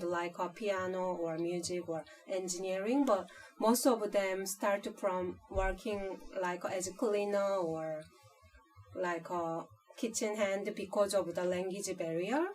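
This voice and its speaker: A woman's voice: mid-range voice, a little mellow, distant mic, poor audio. A woman in her thirties from South Korea, speaking English with a Korean accent as an interview guest, earnest and plainly phrased.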